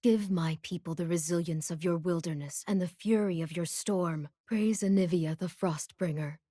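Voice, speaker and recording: Actress doing a solemn warrior queen from a game, calm n grave, slightly low voice, very dry sound. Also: moderate pace, no echo, lightly acted. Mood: reverent. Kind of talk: video game character voice lines.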